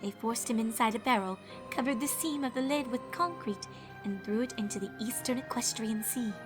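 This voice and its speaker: A woman's voice: fairly high pitch, fairly quick, over music, close to an everyday voice. A woman voicing a refined, dramatic female unicorn fashionista telling a spooky tale in a fan fiction reading. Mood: grave, suspenseful. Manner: theatrical, genteel, calls others darling.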